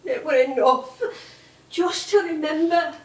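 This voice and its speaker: A woman's voice: high-pitched, unhurried. A woman playing an ageing English music hall actress, proud, wistful and wounded, in an emotive theatrical style. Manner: emotive, dramatic, reminiscing. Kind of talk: theatrical stage monologue and dialogue. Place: theatre stage, echoing. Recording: roomy theatre stage, distant microphone.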